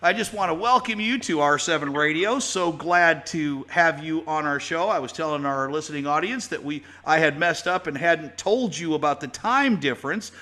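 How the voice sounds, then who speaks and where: A man's voice: on the high side, moderate pace, few pauses, bright, forceful tone. A man hosting his own radio show, chatting with a guest in a folksy way, full of fillers and restarts. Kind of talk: casual talk-radio interview.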